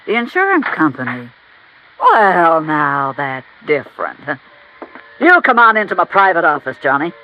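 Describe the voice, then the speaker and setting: An actress playing a blunt, folksy brewery owner of about fifty, fiercely proud, in an old American radio drama. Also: female voice, low voice, very animated, measured pace, a little hiss or hum. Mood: brusque. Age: 50s. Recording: dull, lo-fi vintage radio recording.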